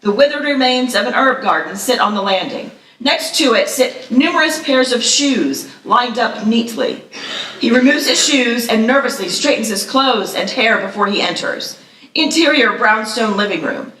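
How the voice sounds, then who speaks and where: Bright-voiced woman narrating in echoey room. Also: fairly high pitch, lively intonation, normal speed. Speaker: stage-direction reader. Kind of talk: scripted narration at live table read. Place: live festival stage reading in echoey room. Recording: echoey room, slightly distant mic.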